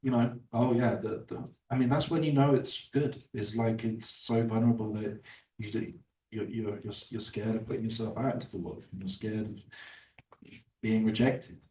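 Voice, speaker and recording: A man's voice: lowish voice, steady tone, normal speed, warm tone, slight background noise. A man, an author, talking casually about his book at a bookstore event, rambling with many fillers and restarts. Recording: roomy, distant from the mic.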